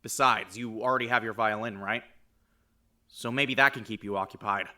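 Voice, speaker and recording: A man voicing a shy young man who reads out his letter in a soft-spoken, earnest way. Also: on the low side, fairly even intonation, moderate pace. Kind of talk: reading a letter aloud.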